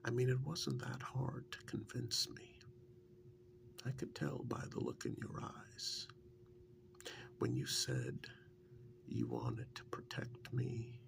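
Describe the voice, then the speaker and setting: A man playing a fondly reminiscing, teasing male partner and former captive in an ASMR role-play, in a breathy half-whisper with gravelly fry and a halting, conversational delivery. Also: medium pitch, exaggerated voice. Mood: fond, candid. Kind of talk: soft-spoken ASMR role-play monologue.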